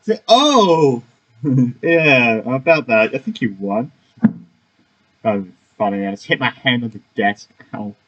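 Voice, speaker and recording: A man's voice: medium pitch, lively intonation, unhurried, a bit bright, muffled recording. A young man giving casual let's-play commentary, rambling and often trailing off. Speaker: gaming YouTuber. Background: game music.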